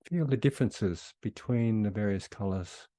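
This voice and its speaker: A man leading a guided meditation in a soothing, unhurried way with long pauses between phrases. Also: lowish voice, dark tone, no room sound.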